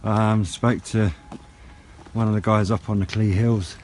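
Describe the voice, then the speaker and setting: Low-voiced British man rambling outdoors. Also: steady tone, a little mellow. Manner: rambling, unhurried, low-key. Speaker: radio hobbyist vlogger. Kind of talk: casual outdoor vlog chat. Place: outdoors on wooded high ground by a road. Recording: outdoor noise with passing vehicles.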